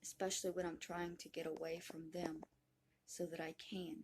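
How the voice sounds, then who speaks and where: A woman's voice: fairly low pitch, little pitch movement, moderate pace, background noise. A woman in her thirties speaking earnestly to camera in a personal video, complaining. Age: 30s. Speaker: video creator.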